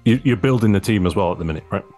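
A man's voice: on the low side, animated, rapid-fire, darkish tone, soft background music. Chatty young male podcast host. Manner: chatty, enthusiastic, asks leading questions. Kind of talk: casual interview over video call. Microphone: large studio microphone on a video call.

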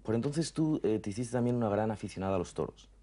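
A man in his thirties with a deep, relaxed voice. He puts questions conversationally, as the interviewer on a television programme. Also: quick pace. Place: dim furnished lounge, indoors. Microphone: table microphone on a stand.

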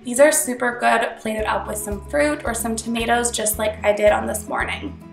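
A young woman with a slightly high voice, a bit congested from a cold, talks through a recipe in a chatty, upbeat way. She is recorded in a roomy home kitchen, with light music playing underneath. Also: fairly even intonation, moderate pace, gravelly. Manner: chatty and upbeat, explaining step by step. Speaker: cooking channel host. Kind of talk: casual cooking tutorial voice-over.

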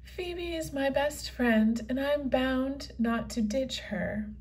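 A woman's voice: sits high, slow pace, a little mellow, recorded from a distance. Woman reading as a vain, proper unicorn. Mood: calm. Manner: grand, formal storybook delivery. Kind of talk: children's comic read-aloud.

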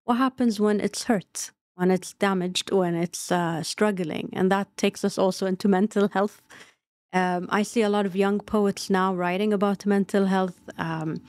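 A woman in her forties talks thoughtfully as a podcast guest, circling her points with soft "you know" fillers. Her voice sits at a middling pitch. It is recorded close on a boom mic in a small, dry studio. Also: steady tone, normal speed, no echo. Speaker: guest, literature professor and writer. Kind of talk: podcast interview. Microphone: boom mic on arm close to mouth.

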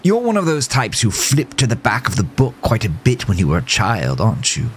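A man's voice: medium pitch, wildly swooping pitch, a little fast, a little hiss or hum, pitched above the performer's own voice. A man voicing a dapper, sinister talking horse in a horror audio story, theatrical, formal and effusively genteel. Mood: delighted, teasing.

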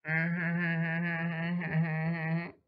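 A man's voice slowed in playback, very slow and drawn out. He is young and repeats short phrases playfully, through dull lo-fi sound. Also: completely flat delivery, clean tone. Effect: slowed-down playback, dragging deepened voice. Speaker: vlogger. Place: small bedroom, indoors.

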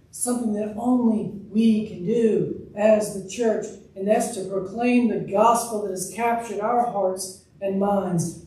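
A man's voice: sits high, dark tone, a little hiss or hum. A man in his thirties, a pastor, preaching an earnest, emphatic sermon from a pulpit in a church sanctuary. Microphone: room mic, far from speaker. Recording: echoey distant church recording.

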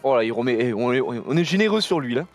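A man's voice: mid-range voice, lively intonation, fast. Playful man joking in a studio kitchen. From southern France. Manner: playful, teasing, laughs often. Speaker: guest cook. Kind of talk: joking cooking chat.

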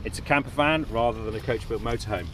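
A man's voice: medium pitch, fast, background noise. Chatty man giving a van walkaround. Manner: chatty, enthusiastic, pointing things out as he walks. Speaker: presenter reviewing a camper van. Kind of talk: upbeat walkaround review. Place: outdoors by a van on a windy coast, and inside the van.

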